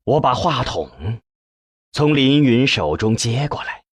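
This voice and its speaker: A man's voice: mid-range voice, big pitch swings, slow, breathy edge, dry recording. A man narrating a novel as a scripted, dramatic audiobook reading.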